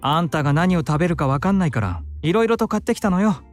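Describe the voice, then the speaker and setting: A male actor plays a motherly, effeminate visual novel friend who scolds and fusses. The speech races along with lively pitch, over faint game music. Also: racing, close to an everyday voice. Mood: fussing. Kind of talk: Japanese visual novel acting.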